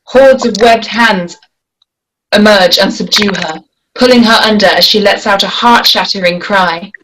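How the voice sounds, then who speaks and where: A woman's voice: medium pitch, unhurried, fairly bright tone, a little hiss or hum, low-quality recording. A woman reading scripted stage directions as the narrator of a screenplay.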